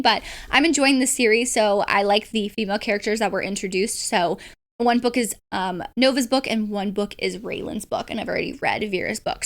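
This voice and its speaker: Woman, higher voice, casual book chat, chatty, enthusiastic, informal vlogger delivery. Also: a bit bright. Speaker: book vlogger and readalong host. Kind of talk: casual chat about books to read.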